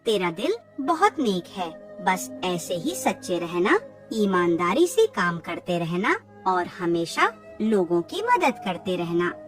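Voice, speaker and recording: A woman playing a poor, loving, worried mother in a cartoon, tender, earnest and expressive. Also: lowish voice, slow, distant mic, faint music behind. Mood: earnest, reassuring. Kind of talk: cartoon moral-tale dialogue.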